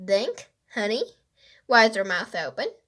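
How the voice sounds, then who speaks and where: A woman's voice: mid-range voice, very animated, measured pace, pushed and bright. A woman voicing a cheerful, affectionate mother in a children's storybook, chirpy and inquisitive.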